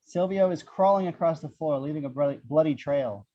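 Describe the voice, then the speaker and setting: A man's voice: on the high side, moderate pace, warm tone. A man reading scripted action descriptions as the narrator of an amateur table read, in muffled audio.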